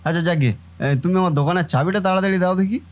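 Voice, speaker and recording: A man's voice: high voice, racing, music in the background, lightly acted. Man acting earnest young shopkeeper brother. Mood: cheerful, brisk. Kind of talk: Bengali family cartoon voice acting.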